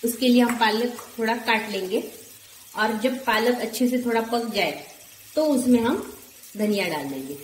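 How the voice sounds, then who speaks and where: A woman in her forties narrating a home cooking recipe step by step, calmly listing ingredients one at a time. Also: a little high, normal speed. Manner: calm, lists ingredients one by one. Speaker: cooking channel host. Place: home kitchen, echoey. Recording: echoey room with faint kitchen noise.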